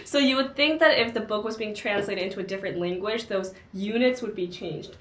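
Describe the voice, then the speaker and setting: A young woman chats casually about a book she read. She talks easily and fills her pauses with words. Her voice sits at a middling pitch and rings a little in an echoey room. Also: a bit bright. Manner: chatty, many fillers. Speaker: co-host of a book discussion channel.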